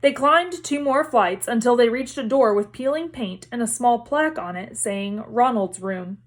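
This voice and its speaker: Woman reading a kids book out loud, kinda high voice. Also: expressive, normal speed. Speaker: read-aloud reader. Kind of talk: scripted storybook narration, read aloud.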